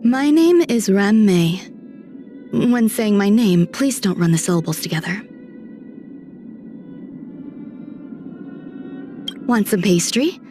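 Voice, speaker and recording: A woman's voice: mid-range voice, animated, measured pace, natural voice. Woman acting a composed, refined lady scientist. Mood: polite, reserved. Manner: composed, polite, softly detached. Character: refined, reserved female scientist, gentle and detached. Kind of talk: scripted video game character monologue. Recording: voice over background music.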